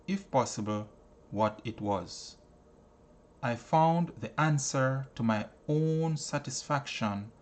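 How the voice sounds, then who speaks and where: A man reading a book aloud as scripted narration, in deliberate phrases broken by pauses. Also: mid-range voice. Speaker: audiobook reader.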